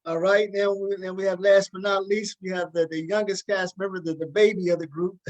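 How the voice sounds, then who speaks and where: A man's voice: sits high, steady tone, moderate pace. A man hosting a casual interview, often doubling up words and phrases as he feels his way through questions. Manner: hesitant, repeats words and phrases. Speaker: talk show host interviewing a play's cast.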